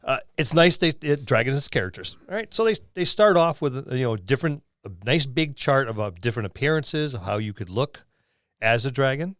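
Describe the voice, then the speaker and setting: Middle-aged man, casual podcast co-host. Lively varied intonation, rambling jocular talk, very dry studio-like sound. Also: mid-range voice, fairly quick, dry recording. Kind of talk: casual jokey chat, loosely walking through a book.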